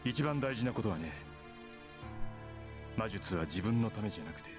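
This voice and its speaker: Actor as low-voiced calm mentor father over music. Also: fast, natural voice. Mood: gentle instruction. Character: calm adoptive father, a mage mentoring a boy. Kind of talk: Japanese visual novel voice acting.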